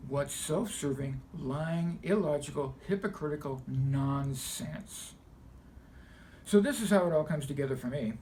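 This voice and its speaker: A middle-aged man from Los Angeles with an American accent, delivering a scripted personal monologue in deliberate, emphatic, short measured phrases. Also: a little high, a little mellow, slight background noise. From Los Angeles, United States. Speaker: video essayist telling personal views.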